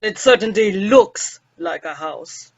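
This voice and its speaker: A woman's voice: medium pitch, expressive, slow. A woman acting a grumpy, sarcastic old male professor in an old-time radio comedy. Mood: mocking. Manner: sardonic, teasing insults. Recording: muffled band-limited audio.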